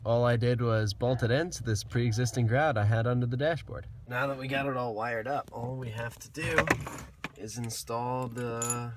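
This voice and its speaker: A man's voice: medium pitch, fairly even intonation, moderate pace. A man giving a casual, plainspoken step-by-step tutorial, explaining each step as he works. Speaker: DIY tutorial host. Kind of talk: casual step-by-step how-to narration. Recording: clearly noisy hobby video with clicks.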